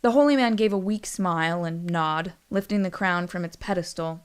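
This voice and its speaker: A woman's voice: on the low side, normal speed, smooth. A woman narrating a scripted story in a wry, dramatic way.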